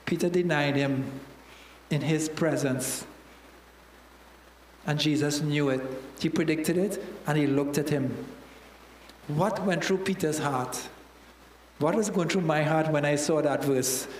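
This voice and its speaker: A man's voice: fairly high pitch, a little slow, long pauses, a little hiss or hum. A man in his fifties with a Trinidadian accent, preaching a sermon into a handheld mic and acting out Bible characters with local slang. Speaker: church elder preaching. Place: church hall with stage. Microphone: handheld microphone. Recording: roomy church hall reverb.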